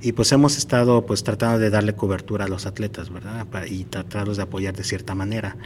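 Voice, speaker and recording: A man's voice: on the low side, fairly even intonation, fast, a little mellow, slight background noise. A man hosting a podcast interview, speaking casually with many fillers and hesitations. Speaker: podcast host. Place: outdoors at a marathon event.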